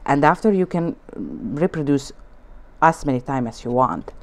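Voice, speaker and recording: Low-voiced Hungarian-accented nail art teacher. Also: female voice, animated, normal speed. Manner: chatty, warm asides, broken English, frequent fillers. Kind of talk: casual demonstration talk. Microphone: off-camera voice over hands-only shot.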